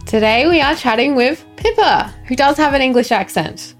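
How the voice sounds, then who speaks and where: A woman's voice: high voice, lively intonation, moderate pace, soft background music. A woman chatting casually as the co-host of an interview show. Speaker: podcast co-host. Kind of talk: casual chat.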